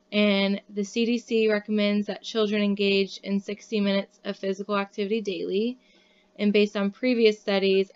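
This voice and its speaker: Young woman presenting, smooth steady voice. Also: mid-range voice, dull audio. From Kansas City, Missouri, USA. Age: young adult. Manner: reads from notes with frequent "um" fillers. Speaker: student presenter. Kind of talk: class presentation.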